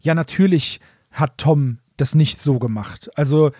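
A man with a fairly high voice talks as a podcast co-host. He chats casually and explains carefully, often rewording his points. The sound is close and very dry. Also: measured pace, a little mellow, dry recording. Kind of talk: casual nostalgic chat about audio dramas.

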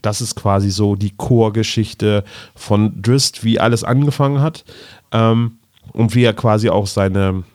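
Low-voiced German man, casual podcast co-host. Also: steady tone, measured pace, a little mellow, no room sound, clean studio sound. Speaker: podcast co-host reviewing audio dramas. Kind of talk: casual fan chat.

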